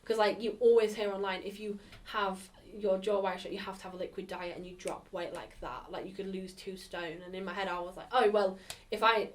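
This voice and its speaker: A woman's voice: mid-range voice, steady tone, fairly quick, hardly any pauses, distant mic, slight background noise. A woman speaking candidly in an interview, rambling and filling her sentences with "like". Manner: rambling, filled with "like".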